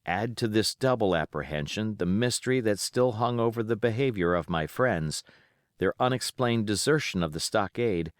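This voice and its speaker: A man's voice: fairly low pitch, animated, moderate pace, no echo, clean studio sound. A man reading a classic adventure novel aloud as a scripted audiobook narration.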